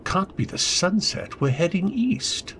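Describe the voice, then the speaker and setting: An actor playing a troubled, brusque English gentleman husband in an old-time radio drama, terse and intense. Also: sits high, animated, measured pace, slight background noise, heavily acted. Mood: alarmed.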